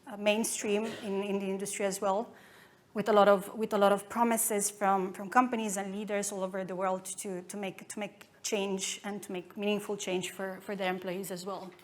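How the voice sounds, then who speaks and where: A woman's voice: mid-range voice, little pitch movement, normal speed. A woman in her thirties from Romania with a Romanian accent, giving a conference talk with many fillers and restarts. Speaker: conference speaker.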